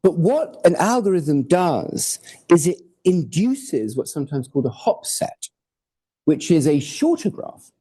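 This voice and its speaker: A man lectures to a hall audience in a very dark, mellow voice. His delivery is loose and conversational, full of asides, jokes and the occasional curse. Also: on the high side, big pitch swings, a little slow, husky. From born in Hawaii, raised in Portugal and England. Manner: informal, digressive, jokey, swears for effect. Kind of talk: academic talk with slides.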